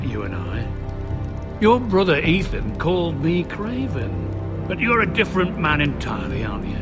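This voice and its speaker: An actor playing an arrogant, scheming middle-aged lord who taunts in a smug, mocking way in a video game drama. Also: male voice, fairly high pitch. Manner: smug, mocking, slow and drawn-out. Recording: music behind the voice.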